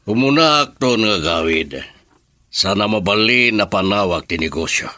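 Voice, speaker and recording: A man's voice: on the low side, animated, measured pace, pushed and bright, no echo. A man playing a businessman father in an Ilocano radio drama. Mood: hopeful. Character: father, a businessman on family vacation.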